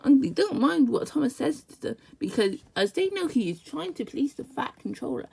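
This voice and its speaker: Halting, lively high-pitched storybook reader. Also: vocal fry, breathy edge, poor audio. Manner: stumbling, repeats words as reading. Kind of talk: halting read-aloud of a children's storybook.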